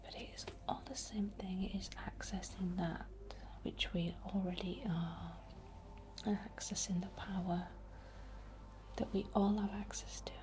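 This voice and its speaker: A woman softly whispering a guided ASMR healing ritual in a breathy, gentle and soothing manner, often repeating words. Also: mid-range voice, level tone, measured pace, low-quality recording.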